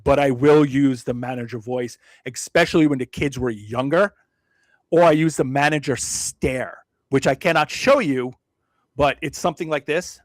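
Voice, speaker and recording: A man from Brooklyn talking as a podcast guest, measured and self-deprecating, with frequent hedges and restarts. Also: mid-range voice, no room sound. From Brooklyn, New York. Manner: frequent hedging fillers and restarts. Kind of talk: casual interview conversation.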